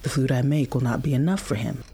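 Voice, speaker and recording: A woman playing a patient, tired mother in an audio drama, explaining things plainly and with gentle firmness in a husky voice. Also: rumbling low, fast, exaggerated voice. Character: patient, tired human mother of a nursing infant.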